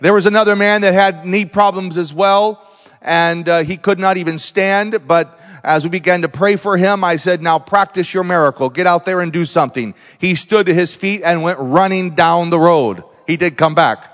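A man preaching as a visiting missionary, telling healing stories and declaring loudly, rising to exclamations. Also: sits high, moderate pace, in a large hall. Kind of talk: pentecostal sermon.

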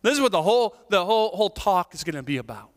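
A man preaching a sermon as pastor, in an animated, conversational way that keeps checking in with the congregation. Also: sits high, quick pace, long reverb tail. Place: church sanctuary.

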